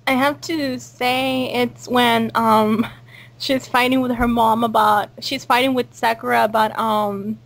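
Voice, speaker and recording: A woman's voice: fairly high pitch, steady tone, a little slow, vocal fry. A woman hosting a casual cast interview, chatting and reading out questions. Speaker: interview host and cast member.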